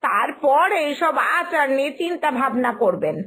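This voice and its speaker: A woman voicing a fretful, soft-hearted young village mother in an animated moral story, polite and anxious, with an expressive, deliberate delivery. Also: high voice. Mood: fretful scolding.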